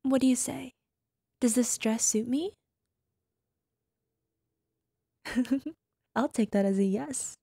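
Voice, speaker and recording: Woman acting as playful affectionate wife, ASMR roleplay, flirty, breathy asides, touch of fry, close dry sound. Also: on the high side, measured pace, warm tone, no echo, exaggerated voice. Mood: flirty, tender. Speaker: voice actor.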